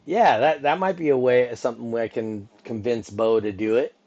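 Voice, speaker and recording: A man's voice: medium pitch, moderate pace, a little mellow, dull audio. A middle-aged man hosting a casual podcast conversation, rambling with many restarts and asides. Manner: rambling, full of restarts and "you know". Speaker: podcast host and event announcer.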